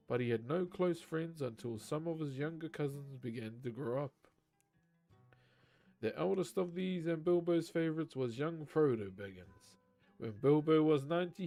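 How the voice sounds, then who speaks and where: A man reading a novel aloud on a live stream, chatting casually with viewers between passages and swearing freely. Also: on the high side. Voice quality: naturally loud voice. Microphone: desk microphone.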